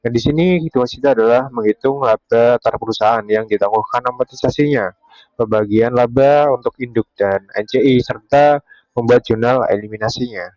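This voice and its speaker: A man's voice: medium pitch, fairly quick, darkish tone, no room sound, dull audio. A young man giving a step-by-step explanatory presentation as a university student. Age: young adult.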